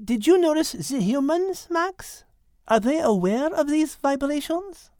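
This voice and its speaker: A woman plays a prim, clever little female creature with a French accent. The voice is very high, with precise, formal phrasing fit for a children's animal story, recorded dry and close. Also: lively intonation, a little slow, darkish tone, no echo. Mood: thoughtful, logical. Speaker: co-host and character in an animal story podcast. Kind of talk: children's audio drama.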